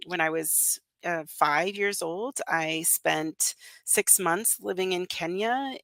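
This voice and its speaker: Chatty woman whose first language is russian, bright tense voice, lots of ums, bone dry sound. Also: lowish voice, no echo. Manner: chatty, with many fillers and restarts. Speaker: interview guest, communications business founder. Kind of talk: casual interview talk. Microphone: remote call.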